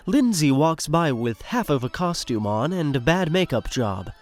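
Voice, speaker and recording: A man's voice: fairly high pitch, sing-song, normal speed, darkish tone. A man reading scripted stage directions as the narrator of an audio drama. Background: music.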